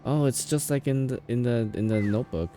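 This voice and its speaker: A man's voice: mid-range voice, a little fast, mellow, soft background music. A man streaming a game, giving casual live commentary in an offhand, reactive way.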